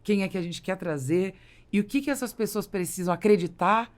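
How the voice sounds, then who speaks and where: A Brazilian woman with a creaky voice hosting a conversational business podcast, explaining and affirming as she interviews. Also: mid-range voice, a little fast. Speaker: podcast host and business consultant.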